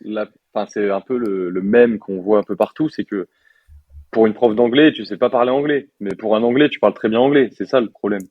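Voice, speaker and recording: A young man in his twenties from France, a software developer chatting casually in an interview with lots of fillers and slang. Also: on the low side, brisk, dry recording, narrow, tinny audio. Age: 20s.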